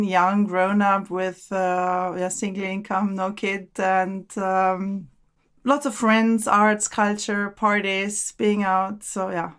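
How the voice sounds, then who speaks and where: A woman's voice: mid-range voice, clear, resonant tone. Austrian lady in her 40s on a podcast, easygoing, kinda repeats herself while thinking. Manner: relaxed, thoughtful, repeats words while searching. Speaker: podcast guest. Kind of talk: casual interview conversation.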